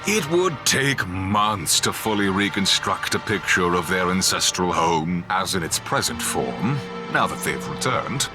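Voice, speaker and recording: A man's voice: low voice, big pitch swings, measured pace. A man narrating a sci-fi audio drama in a solemn, ominous, dramatic style over music. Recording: loud music under the voice. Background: cinematic music.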